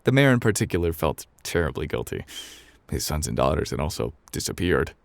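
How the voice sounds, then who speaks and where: A man acting as a gruff male game character reading a bedtime storybook. His voice sits low with a gravelly fry, and it swings expressively between offhand, unbothered lines and dramatic pauses. The sound is close and dry, made for ASMR. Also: big pitch swings, dry recording, heavily acted. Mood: offhand, unhurried.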